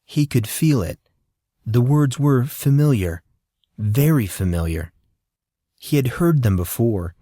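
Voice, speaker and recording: A man's voice: mid-range voice, expressive, takes it slowly, long pauses, warm tone, no room sound, studio quality. A man reading scripted fantasy fiction as an audiobook narrator.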